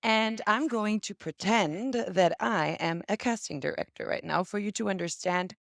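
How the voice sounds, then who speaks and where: A woman's voice: mid-range voice, moderate pace, vocal fry, no room sound, studio quality. A woman guest chatting casually on a video call, walking through a casting website and acting out an example search as she goes. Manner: chatty, acts out scenarios as she explains. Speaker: guest demonstrating a casting database. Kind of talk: casual explanatory chat.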